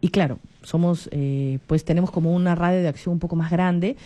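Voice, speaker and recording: A woman in her forties with a Peruvian Spanish accent talks on a radio program. Her voice is mellow and soft. She is chatty, keeps adding little tag questions and restarts her phrases as she goes. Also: on the low side, fairly even intonation, brisk. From Peru. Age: 40s. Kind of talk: casual radio talk.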